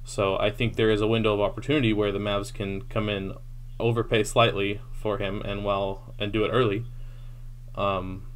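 A man's voice: fairly low pitch, flat delivery, moderate pace, slight background noise. A man co-hosting a casual basketball podcast, steadily reeling off stats with frequent ums. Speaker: podcast co-host. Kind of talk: casual sports talk.

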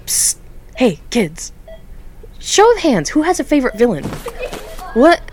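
A woman playing an eager young coordinator, lively voice. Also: high voice, a little slow, breathy edge, heavily acted. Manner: eager and friendly. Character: newly promoted earnest young woman coordinator. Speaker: foundation coordinator. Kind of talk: audio drama acting.

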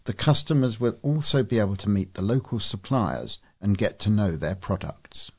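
A man's voice: on the low side, lively intonation, moderate pace, a little mellow, slightly rough. A man reading scripted local news as a volunteer newsreader.

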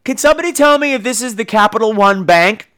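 A man's voice: high-pitched, lively intonation, quick pace, a bit bright, smooth, pitched above the performer's own voice. An American man voicing a prickly, fiercely independent middle-aged woman in a comic anecdote, blunt in manner. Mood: polite. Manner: blunt, indignant, profane.

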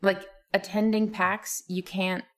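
A woman co-hosting a casual podcast, friendly and quick to laugh. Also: medium pitch, flat delivery, a bit bright. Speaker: podcast co-host. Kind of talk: casual chat.

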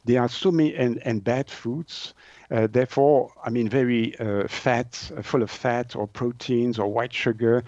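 Guy explaining stuff in an interview, searches for words, medium pitch, dry but kinda dull audio. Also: fairly even intonation, darkish tone, no room sound. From Switzerland. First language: French. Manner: halting, hesitant delivery with word searching. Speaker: naturopath, interview guest.

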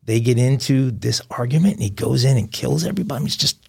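A man's voice: medium pitch, fast, gravelly, darkish tone, slightly rough. A man co-hosting a crime podcast, talking through a story with hesitant fillers. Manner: hesitant, many fillers while explaining. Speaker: podcast co-host. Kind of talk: casual news chat.

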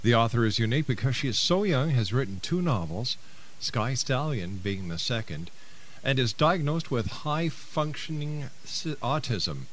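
A man's voice: medium pitch, very animated, moderate pace, fairly bright tone, slight background noise, low-quality recording. An older man, hosting a live talk radio show in an announcer's style.

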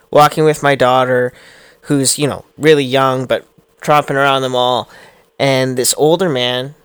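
A man in his thirties in casual podcast chat, earnest and reflective and quick to laugh. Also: medium pitch, a bit bright, clean tone, no room sound.

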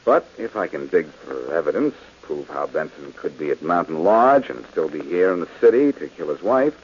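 Wry reformed ex-crook, husky, low, lively delivery, old radio drama sound. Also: male voice, normal speed, full character voice. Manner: dry wisecracking. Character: wisecracking reformed ex-crook man, cool. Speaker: lead detective character. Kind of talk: 1940s radio acting. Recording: dull old radio broadcast.

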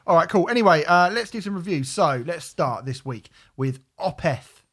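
A man's voice: on the high side, lively intonation, normal speed, a bit bright, breathy edge. A British man co-hosting a music podcast, easygoing and jokey, with casual slang. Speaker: podcast co-host. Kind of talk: casual chat about music.

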